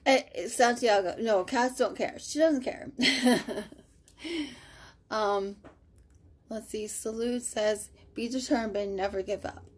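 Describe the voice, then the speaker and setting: A fairly high-pitched woman in her mid-thirties talks to viewers on a live stream from her home. She is upbeat and chatty and uses plenty of "um" and "like." It sounds like a webcam or phone mic in a casual setting. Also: takes it slowly, long pauses. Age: 30s. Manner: upbeat, chatty, many fillers like "um" and "like". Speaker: stroke survivor hosting a live stream. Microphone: webcam or phone on a live video.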